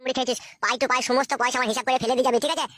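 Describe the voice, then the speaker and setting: An actor voicing a crude, pushy, foul-mouthed young man in a comic cartoon, with a pitched-up voice. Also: flat delivery, very fast, fairly bright tone. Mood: angry demanding. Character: crude, pushy, money-minded young male lover.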